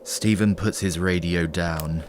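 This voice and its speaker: A man narrating a scripted horror story in a measured, descriptive way over loud storm ambience. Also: low voice, fairly even intonation. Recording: heavy noise behind the voice.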